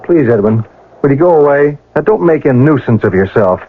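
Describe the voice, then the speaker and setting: An actor playing a flippant, wayward younger brother and card cheat in an old-time radio drama. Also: male voice, medium pitch, lively intonation, fairly quick, lightly acted. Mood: irritated. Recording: noisy, tinny vintage radio recording.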